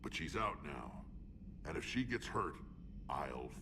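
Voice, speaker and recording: Huge gruff alien warlord from a video game, super low growly voice, distrustful, kinda noisy audio. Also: male voice, big pitch swings, unhurried, full character voice. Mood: gruff warning. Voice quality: very deep, gravelly. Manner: gruff, menacing, terse. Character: huge battle-hardened male alien warrior leader, gruff and distrustful.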